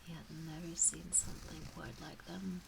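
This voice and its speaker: A woman voicing a gentle fox witch, telling a wistful tale in soft, breathy, often whispered ASMR storytelling. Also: low voice, little pitch movement, noisy background. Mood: tender, wistful. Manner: hushed, breathy, measured with long pauses.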